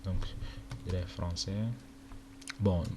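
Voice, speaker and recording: Young male tutor from Chad, first language Arabic, deep flat delivery, halting and casual, noisy home recording. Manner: casual, halting, many fillers. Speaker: tutorial presenter. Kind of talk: screen-recorded walkthrough. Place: indoor room at a computer.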